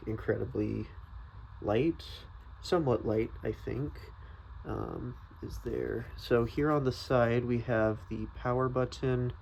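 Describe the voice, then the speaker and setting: Hesitant man with a creaky voice, slow-paced. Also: medium pitch, darkish tone, a little hiss or hum. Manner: hesitant, thinking aloud, tentative. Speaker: product reviewer. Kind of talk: casual unscripted product review.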